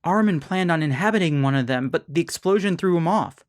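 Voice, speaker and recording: Animated, wary woman played by an actress. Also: lowish voice, fast, natural voice. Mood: wary, serious. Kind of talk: audio drama dialogue.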